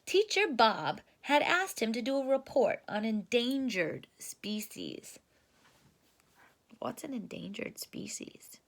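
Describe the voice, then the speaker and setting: A woman reading a children's storybook aloud and explaining it simply, in an expressive, careful teacherly way. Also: fairly high pitch, takes it slowly, creaky.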